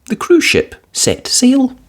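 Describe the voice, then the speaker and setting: A woman reading a children's picture book aloud as storyteller, expressive and playful with sound words. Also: high voice, takes it slowly, husky.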